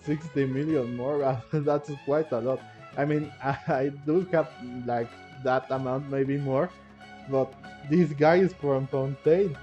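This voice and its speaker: A man's voice: medium pitch, dark tone, lo-fi sound. A man giving casual playthrough commentary on a game, thinking aloud hesitantly and repeating phrases. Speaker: gaming content creator.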